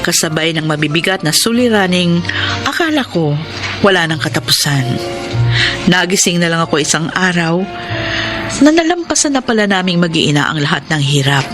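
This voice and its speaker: A woman's voice: fairly low pitch, sing-song, normal speed, long pauses. Grateful filipino mom in a radio drama, earnest and emotional, music under her. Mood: reflective, relieved. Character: grateful, devoted single mother telling her life story. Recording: music bed behind voice.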